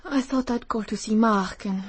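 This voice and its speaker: A woman playing a sensitive, fragile rich young woman in a British radio drama, emotional and breathy. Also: fairly high pitch, moderate pace. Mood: distressed. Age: young adult.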